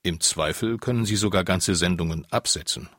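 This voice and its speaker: A man's voice: low-pitched, fairly quick, fairly bright tone, dry recording, studio quality. A man narrating a scripted radio documentary.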